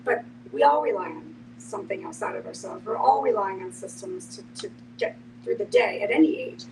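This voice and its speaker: A woman's voice: medium pitch, animated, fairly quick. A woman explaining her thoughts reflectively in a group discussion, often saying "you know" as a podcast panelist. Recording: noisy, distant microphone, background hum.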